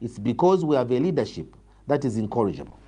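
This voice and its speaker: A man's voice: medium pitch, sing-song, fast, mellow, breathy edge. A man with a Zambian accent giving argumentative political answers, emphatic and repeating phrases for stress. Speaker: opposition party politician, guest.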